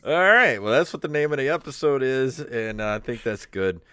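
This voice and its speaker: An American man in his fifties hosting a podcast in casual, joking chat, amused, self-deprecating and quick to laugh. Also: mid-range voice, lively intonation, pushed and bright, clear, resonant tone, no room sound. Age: 50s. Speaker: podcast host. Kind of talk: casual joking chat, reading aloud from old papers.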